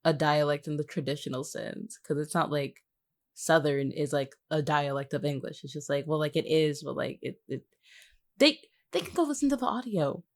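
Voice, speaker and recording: Female podcast guest, lowish voice with animated intonation, playful and teasing in casual conversation. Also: normal speed.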